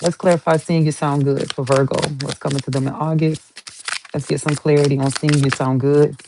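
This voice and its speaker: A woman's voice: low-pitched, flat delivery, a little fast, background noise. A woman giving a casual tarot reading to her viewers, chatty and emphatic, swearing casually and repeating herself for emphasis. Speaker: tarot reader.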